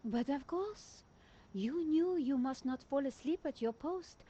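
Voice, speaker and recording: A woman's voice: sits high, expressive, a little slow, dark tone, lo-fi sound. A woman playing a shrewd, persuasive young Frenchwoman, coaxing and dramatic, in an adventure game. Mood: smooth coaxing.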